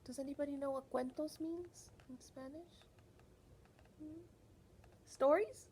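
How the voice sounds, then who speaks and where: A woman reading a picture book aloud to young children as a librarian, animated and teacherly, often pausing to put questions to her listeners. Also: high voice.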